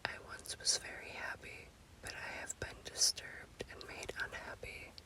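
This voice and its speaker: A woman voicing a restless witch's spirit in a ghost story, in slow whispered declarations. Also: sharp tone, rough recording. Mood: mournful. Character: restless, boastful spirit of a witch haunting a family.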